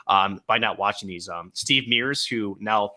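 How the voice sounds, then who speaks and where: A man's voice: fairly low pitch, a little slow, pushed and bright. A man co-hosting a podcast, talking hockey at length in casual chat with many fillers. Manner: long monologues, filler-heavy. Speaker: podcast co-host, hockey broadcaster.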